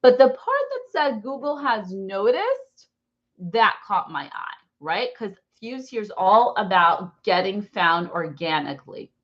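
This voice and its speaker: A woman in her forties with an American accent, teaching an informal online class in a chatty, rambling, expressive way. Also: mid-range voice, takes it slowly, muffled recording. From born in Brazil, raised in New Jersey, US. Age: 40s. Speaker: online course instructor.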